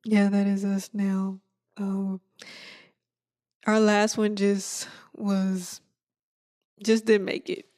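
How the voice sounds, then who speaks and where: A woman's voice: mid-range voice, flat delivery, dark tone. Laid-back woman in her twenties. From Texas. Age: 20s. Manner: laid-back, laughs often, drawn-out fillers. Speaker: podcast co-host. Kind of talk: casual chat.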